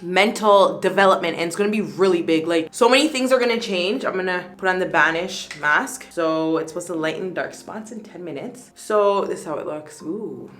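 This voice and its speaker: A woman in her late twenties chatting casually and rambling through her skincare routine, full of "like" fillers, her voice jumping up when she gets excited. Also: on the low side, moderate pace, hardly any pauses. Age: 20s. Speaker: beauty vlogger. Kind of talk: casual chat while doing skincare.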